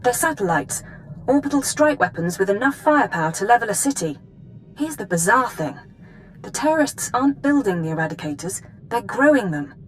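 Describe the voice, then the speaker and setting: An actress playing a composed, businesslike intelligence handler giving a mission briefing in a video game. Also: female voice, mid-range voice, big pitch swings, brisk, slight background noise, close to an everyday voice, low-quality recording. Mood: formal briefing.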